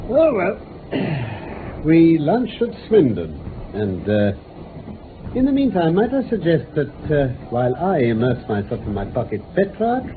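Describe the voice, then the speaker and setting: An actor playing a confident, methodical British detective, speaking precisely and with authority in a radio drama. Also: male voice, a little high. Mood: unhurried. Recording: muffled noisy vintage radio recording.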